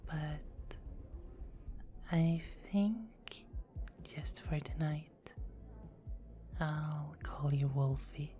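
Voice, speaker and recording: Woman doing a teasing vampire lady, low voice, slow and mellow, soft whispers, faint music behind. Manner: soft-spoken, often whispering, playful teasing. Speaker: performer of own script. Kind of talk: ASMR roleplay.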